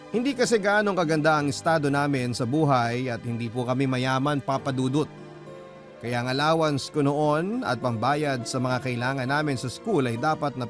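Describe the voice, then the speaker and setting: A man narrating a listener's letter as a radio drama host, in earnest, deliberate scripted storytelling. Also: medium pitch. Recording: music bed under the voice. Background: prominent music.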